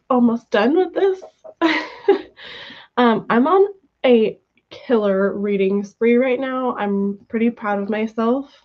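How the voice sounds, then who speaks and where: A woman hosting a casual reading livestream from home, her voice worn from a cold, chatty and relaxed with many ums and soft laughs. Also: medium pitch. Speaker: livestream host of reading sprints. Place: at home, room with her cat. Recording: dull lo-fi stream audio.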